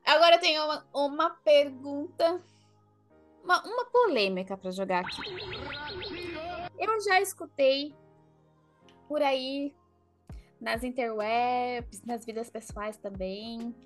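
High-voiced Brazilian woman hosting a podcast. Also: lively intonation, takes it slowly, long pauses, faint music behind. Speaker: podcast host. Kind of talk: casual podcast discussion.

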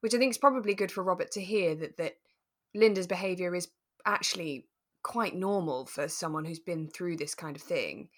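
A woman co-hosting a podcast in relaxed casual discussion, explaining things in a thoughtful, tentative way. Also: fairly low pitch, normal speed. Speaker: podcast co-host.

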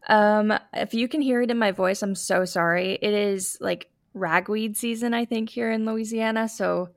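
A woman's voice: mid-range voice, steady tone, normal speed, no room sound, clean studio sound. A young adult woman hosting a casual podcast chat, her voice scratchy and a bit sniffly from allergies, with lots of fillers. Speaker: podcast host.

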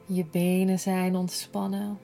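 A woman guiding a yoga nidra relaxation in a gentle, soothing, unhurried manner, breathy at times. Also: lowish voice, little pitch movement, faint music behind. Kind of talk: slow guided relaxation meditation.

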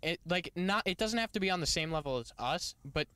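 A young man co-hosting a podcast, playful and quick to react in casual chat. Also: sits high, expressive, sharp tone. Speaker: podcast co-host. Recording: clear background noise.

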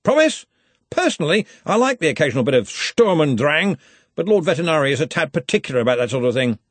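Man playing a booming, jovial old academic grandee. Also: big pitch swings, fast, no echo. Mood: boisterous, enthusiastic. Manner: hearty, clipped phrases, slightly threatening joviality. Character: jovial, booming, tall old male university Arch-Chancellor.

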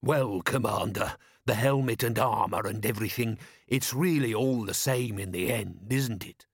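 A man voicing a smug gentleman in an audiobook, speaking in an ingratiating way. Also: fairly low pitch, normal speed, vocal fry, slightly rough, no room sound, clean studio sound. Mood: smiling, placating. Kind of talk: audiobook character voice.

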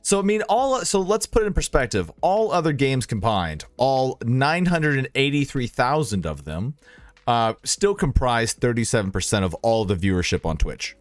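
A man's voice: medium pitch, sing-song, normal speed, dry recording. A man hosting a casual podcast chat about streaming, giving opinions and repeating himself for emphasis.

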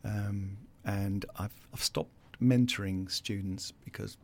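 A man's voice: lowish voice, fairly even intonation, slow pace, a little mellow. A man in his sixties with a South African accent, a guest in a casual interview who hesitates, restarts and repeats words while speaking earnestly.

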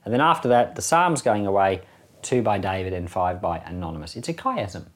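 A man's voice: low voice, big pitch swings, a little fast. A man who is a pastor giving informal Bible commentary in a conversational, enthusiastic way, posing rhetorical questions. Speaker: pastor teaching a Bible podcast.

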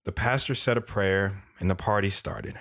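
A man's voice: low-pitched, moderate pace, a bit bright. A man playing an earnest, reflective drifter who tells his life story plainly and sincerely in an audio drama.

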